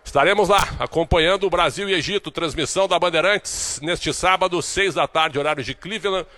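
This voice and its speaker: A man from Brazil giving a recorded sports report in a steady, informative manner. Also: on the high side, brisk.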